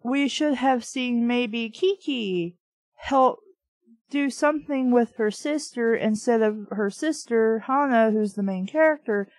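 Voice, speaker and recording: A woman's voice: fairly high pitch, takes it slowly, no room sound, rough recording. A woman giving casual, unscripted critical commentary as a book reviewer, blunt, opinionated and prone to swearing.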